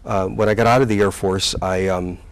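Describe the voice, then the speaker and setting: A man in his sixties, a college president, recalls his career in a relaxed interview and talks haltingly, with many fillers and restarts. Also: sits low, clean tone. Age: 60s. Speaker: community college president, guest.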